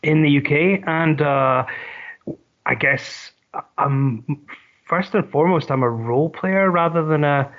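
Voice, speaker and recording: A man's voice: fairly high pitch, a little slow, muffled recording. A middle-aged man with a Scottish accent, a podcast guest chatting casually with frequent ums and restarts. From Scotland. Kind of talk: casual chat.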